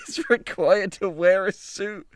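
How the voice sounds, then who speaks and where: A man's voice: high voice, fairly even intonation, unhurried. A man hosting a casual comedy podcast, bantering and reading posts aloud in a sweary, mock-exasperated way. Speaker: podcast host.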